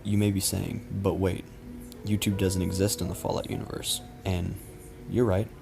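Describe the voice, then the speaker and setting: A man reading a scripted creepy story as narrator in a measured, matter-of-fact way. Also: low-pitched, flat delivery, creaky. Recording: music behind the voice. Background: background music.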